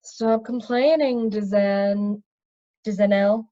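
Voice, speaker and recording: A woman voicing a smooth, condescending male wizard ringleader in a storybook reading. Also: mid-range voice, fairly even intonation, slow, mellow, muffled recording, lightly acted. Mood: condescending, annoyed. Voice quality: smooth, fluid. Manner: condescending, dismissive.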